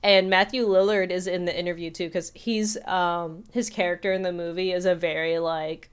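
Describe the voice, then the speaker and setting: A woman's voice: fairly low pitch, little pitch movement, normal speed, smooth. A woman co-hosting a podcast, chatting casually about film in a rambling way with lots of "like". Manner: rambling, peppers talk with "like". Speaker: podcast co-host. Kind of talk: casual film discussion chat.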